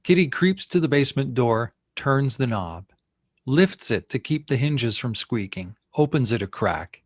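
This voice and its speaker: A man's voice: mid-range voice, lively intonation, measured pace, no echo, clean studio sound. A man reading screenplay action and scene headings as the narrator of a table read. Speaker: narrator reading stage directions.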